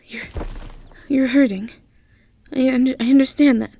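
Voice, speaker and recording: A woman acts out a shy young freshman girl in a fantasy audio play. She speaks slowly and softly, stammering nervously, with a gentle, kind-hearted temper. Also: high voice, fairly even intonation, vocal fry, no room sound, exaggerated voice. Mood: urgent, soothing. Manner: timid, heavily stammering. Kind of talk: amateur fantasy audio roleplay acting.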